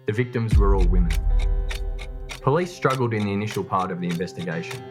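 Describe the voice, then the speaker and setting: A man's voice: on the low side, fast. A man reading scripted true crime documentary narration. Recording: music bed under the voice. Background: background music.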